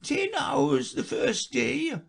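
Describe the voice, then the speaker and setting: A man voicing the Mock Turtle, a sobbing, self-pitying storybook creature who wails his words slowly. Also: lively intonation, gravelly, exaggerated voice. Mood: mournful. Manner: slow tearful wail, high and quavering.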